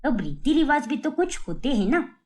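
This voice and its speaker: A woman's voice: high-pitched, sing-song, moderate pace, darkish tone, pitched above the performer's own voice. A woman voicing a nagging, sarcastic middle-aged mother-in-law with a taunting, grumbling manner in a cartoon story.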